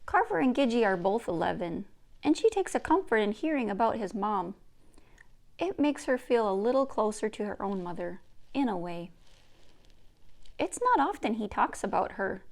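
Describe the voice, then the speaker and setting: A woman's voice: mid-range voice, sing-song, normal speed, creaky. A woman reading a children's book aloud as its author and narrator. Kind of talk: scripted story narration, read-aloud.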